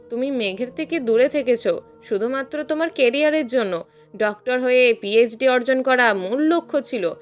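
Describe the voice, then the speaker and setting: A woman voicing a grave, stern older family patriarch who probes and accuses in an audio story. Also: high voice. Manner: grave, probing.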